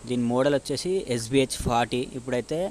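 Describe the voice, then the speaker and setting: Man with Telangana Telugu accent, even pitch. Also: fast, noisy background. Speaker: motovlogger presenting a product review. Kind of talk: casual unboxing and review talk.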